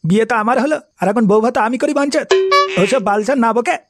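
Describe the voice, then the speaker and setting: A man's voice: very high voice, fast, faint music behind. A man voicing an irate, foul-mouthed old village father in a comedy cartoon, scolding with coarse sarcasm. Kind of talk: Bengali comedy cartoon acting.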